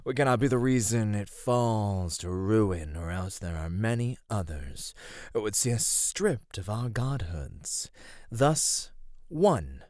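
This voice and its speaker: A man's voice: lowish voice, expressive, takes it slowly, a little mellow, no room sound, clean studio sound. A man voicing a flirty, vain male god of divine radiance in an ASMR roleplay, speaking in a teasing, affectionate way. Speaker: voice actor.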